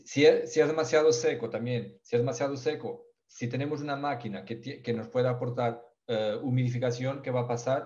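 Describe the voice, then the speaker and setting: A man from Portugal with a Portuguese accent presents a technical topic in a second language. His voice is mellow and dark, and he stumbles, restarts and searches for words. The sound is dull and lo-fi, like call audio. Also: medium pitch. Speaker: ventilation specialist.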